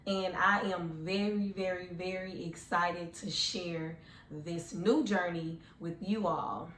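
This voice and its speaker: A woman in her twenties with a hoarse, deep, raspy voice, chatting earnestly and casually to her viewers as a vlogger. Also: fairly even intonation, slow, fairly bright tone, roomy sound, slight background noise. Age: 20s. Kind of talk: casual personal storytelling to camera.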